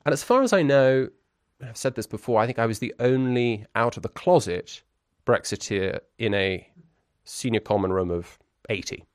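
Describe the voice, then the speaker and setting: A man's voice: medium pitch, moderate pace, no room sound, studio quality. A man in his forties who grew up in Brussels, speaking as an interview guest. He talks in a reflective, articulate and wry way, full of restarts and fillers.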